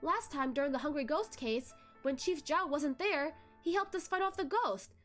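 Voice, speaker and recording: Woman voicing a high, timid, naive young man. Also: expressive, normal speed, a bit bright, faint music behind. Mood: earnest, unsure.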